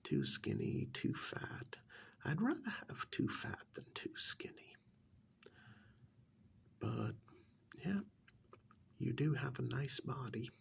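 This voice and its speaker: A man playing a calm, menacing killer in a soft-spoken ASMR horror role-play, hushed and deliberate, with a condescending, musing manner. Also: medium pitch, heavily acted. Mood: pleased, appraising. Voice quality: breathy half-whisper with gravelly creak. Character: calm, menacing male killer who preserves victims as art.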